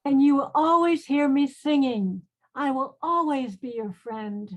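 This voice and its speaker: A woman's voice: high-pitched, measured pace. A woman acting an earnest, proud, devout condemned queen in an online play reading. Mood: wistful, earnest.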